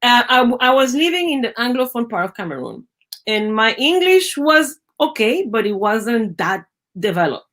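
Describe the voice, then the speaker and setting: A middle-aged woman from Venezuela with a Venezuelan Spanish accent, a native Spanish speaker and biologist, telling stories in a lively interview, acting out quoted voices and often restarting her phrases. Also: a little high, normal speed. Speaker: guest, biologist. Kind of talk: conversational storytelling interview.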